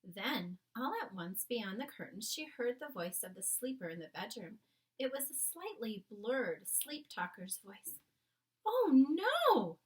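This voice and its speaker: A woman reading a storybook aloud as narrator, with dramatic, measured phrasing. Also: mid-range voice, animated.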